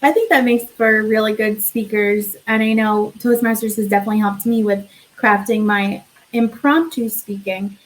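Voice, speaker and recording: A woman's voice: medium pitch, fairly even intonation, normal speed, low-quality recording. A woman hosting an interview over a video call, enthusiastic and bubbly as she introduces and questions her guest. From Westchester, New York, USA. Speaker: host.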